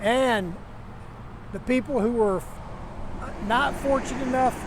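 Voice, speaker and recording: A man talks like a trucker vlogger. His delivery is folksy, plain and conversational, as if telling a story to camera. There is clear vehicle and outdoor noise behind him. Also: very high voice, unhurried. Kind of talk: casual first-person storytelling to camera. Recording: noisy, vehicle and outdoor ambience.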